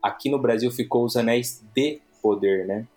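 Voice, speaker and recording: A man's voice: medium pitch, moderate pace, a little mellow, soft background music. A man with a Brazilian Portuguese accent hosting a podcast, chatting casually and rambling, with many restarts and tag questions. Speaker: podcast host.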